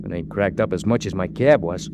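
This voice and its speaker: An actor playing a brash, streetwise cab driver sidekick in a 1940s radio drama, full of opinionated working-class slang. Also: male voice, sits low, brisk. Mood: brash, grumbling. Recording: noisy vintage radio, vehicle ambience.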